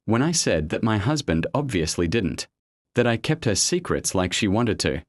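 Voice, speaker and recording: Low-voiced man narrating a story. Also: very animated, moderate pace, no echo. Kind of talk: scripted narration of a first-person online story.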